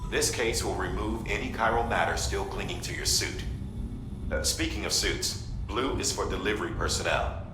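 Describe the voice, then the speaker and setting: A man's voice: low-pitched, normal speed, over loud music, close to an everyday voice. An actor playing a matter-of-fact male staffer giving instructions in a video game. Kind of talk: explanatory video game dialogue. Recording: roomy echo, noisy ambience.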